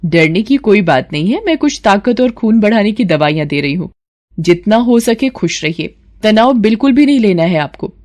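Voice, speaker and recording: A woman acts out a female family doctor in a narrated story. She speaks at a medium pitch, reassuring and instructive, laying out advice in measured, explanatory sentences. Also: sing-song, darkish tone, natural voice. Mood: reassuring, firm.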